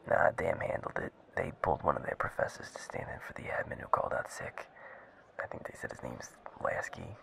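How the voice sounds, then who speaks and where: A man's voice: rumbling low, a little fast, vocal fry, a bit bright, in a whisper, exaggerated voice, rough recording. A man playing a businesslike security subordinate reporting to his boss in an audio drama, matter-of-fact.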